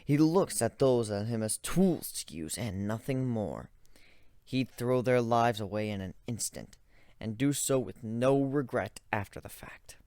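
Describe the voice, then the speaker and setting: Guy reading a story out loud dramatically, really lively pitch, dry close sound. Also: unhurried, no echo. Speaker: narrator of a fan fiction dramatic reading.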